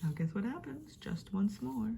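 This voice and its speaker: Woman storyteller, high-pitched, slightly creaky, dramatic rhyming children's reading, expressive, faint noise behind. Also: moderate pace.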